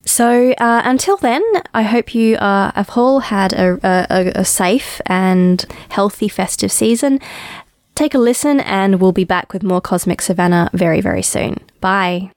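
A woman's voice: medium pitch, unhurried, a little mellow, no room sound, clean studio sound. A woman in her thirties with an Australian accent, co-hosting a casual podcast chat and talking in a chatty way full of ums. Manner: chatty, filler-heavy with frequent ums. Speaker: podcast co-host.

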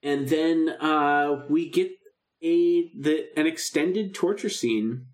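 A man's voice: a little high, takes it slowly. A man co-hosting a podcast, chatting casually and enthusiastically like a fan reviewing a show. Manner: enthusiastic fan, acts out lines in asides. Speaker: podcast co-host. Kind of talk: casual chat reviewing a radio drama.

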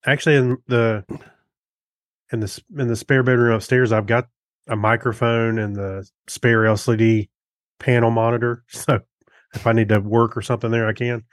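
A man's voice: medium pitch, little pitch movement, normal speed, dry recording. An American man chatting casually as a podcast co-host, with an easygoing, folksy way of talking. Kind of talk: casual chat.

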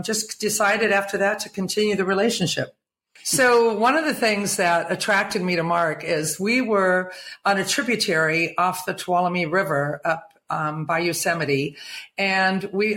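A woman's voice: lowish voice, a bit bright. A woman in her seventies from England, long settled in the United States, telling personal stories as an interview guest. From England, later United States. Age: 70s. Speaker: interview guest, retired nurse.

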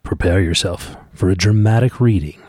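Male podcast host, very low voice, deadpan and teasing, mock-grand announcer moments, tight dry sound. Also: normal speed, husky, no echo. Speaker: father of the young reader. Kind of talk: bantering chat with mock-grand introductions. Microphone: close podcast microphone.

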